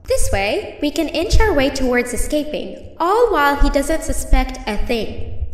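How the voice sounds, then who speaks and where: A shrill, excitable creature. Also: female voice, unhurried, distant mic. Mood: chatty. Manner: chatty and bossy, shrieking in alarm. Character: lively female creature, bossy and excitable. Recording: echoey, reverberant game audio.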